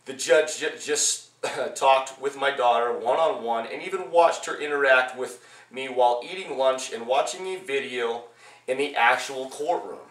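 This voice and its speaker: A man reading his own personal memoir aloud as its author, in a halting way with repeated phrases. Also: mid-range voice, a bit bright. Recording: echoey room, distant from mic.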